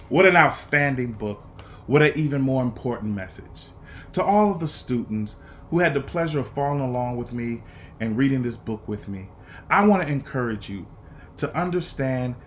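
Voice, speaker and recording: A man reading a children's story aloud to students, with deliberate, emphatic phrasing and an earnest, encouraging manner. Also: a little high, a little hiss or hum. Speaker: guest reader for students.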